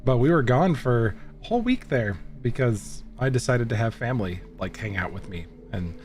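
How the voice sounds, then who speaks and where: A man's voice: medium pitch, lively intonation, normal speed, a little mellow, soft background music. A man running a tabletop game stream, chatting casually and rambling with laughs. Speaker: game master. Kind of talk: casual livestream chat.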